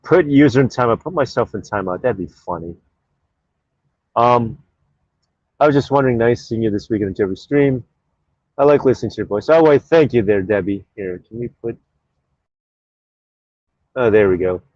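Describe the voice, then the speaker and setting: A man's voice: medium pitch, animated, moderate pace, long pauses, darkish tone, dry recording, muffled recording. A man hosting his own live stream in casual chat, reading out viewer comments in a rambling, offhand way with plenty of fillers.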